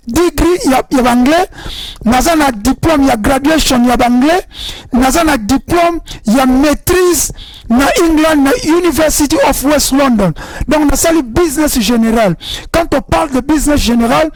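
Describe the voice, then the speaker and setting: A man's voice: very high voice, dry recording, low-quality recording. A young man from Congo with a Congolese accent, mixing French and Lingala as he hosts a rallying talk show monologue.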